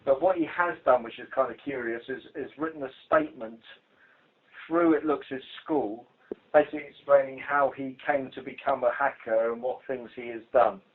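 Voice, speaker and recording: A man's voice: medium pitch, moderate pace, breathy edge. A British man giving an informal work briefing as a police investigator over a telephone line, speaking in a dry, blunt, hesitant way. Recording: muffled phone conference call recording.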